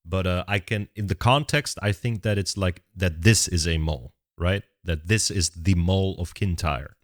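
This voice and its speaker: Young man, deep voice, dry close sound, casual reaction chat, thinks aloud. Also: normal speed, no echo. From Netherlands. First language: Dutch. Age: 20s. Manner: thinks aloud, restarts words mid-sentence. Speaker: music reaction channel host.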